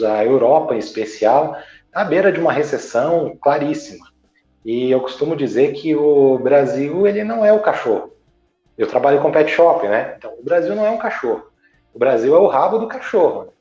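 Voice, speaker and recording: Mellow-voiced Brazilian guest in an echoey room. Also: male voice, mid-range voice, animated, faint music behind. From Santa Catarina, Brazil. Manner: measured, explanatory, searching for words. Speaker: guest, businessman and journalist. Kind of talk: interview answers. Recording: echoey, dull lo-fi sound.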